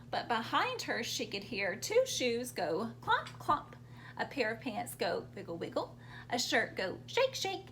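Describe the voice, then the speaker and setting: A woman's voice: high-pitched, sharp tone, slight background noise. A woman reading a story aloud to young children expressively, prompting them to join in as she goes. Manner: interactive, prompting children with questions and sound words.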